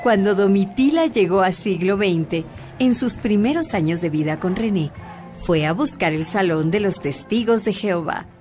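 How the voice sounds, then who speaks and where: A woman's voice: medium pitch, expressive, moderate pace. A woman narrating a radio drama. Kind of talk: scripted narration. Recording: clear music underneath.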